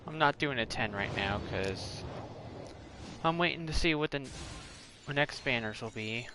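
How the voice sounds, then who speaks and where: A man's voice: medium pitch, lively intonation, moderate pace, noisy background. A man doing casual gameplay commentary, talking aloud to the characters in the game as he streams.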